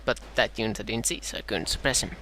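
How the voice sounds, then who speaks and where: A man from Sweden with a Swedish accent and a dry throat, casting his own strategy game match in a halting way, full of "uh"s and self-corrections. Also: fairly low pitch, bright, forceful tone, a little hiss or hum. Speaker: gameplay commentator.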